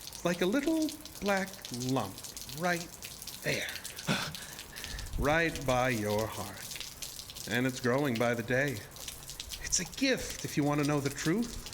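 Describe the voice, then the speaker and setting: A man's voice: mid-range voice, very animated, takes it slowly, pauses often. Man acting a sinister, courtly showman villain, watery noise behind. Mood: brooding, seductive. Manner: theatrical, courtly, insinuating formal speech. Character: sinister, seductive showman-illusionist, deceptively ageless male villain. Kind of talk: horror audio drama acting.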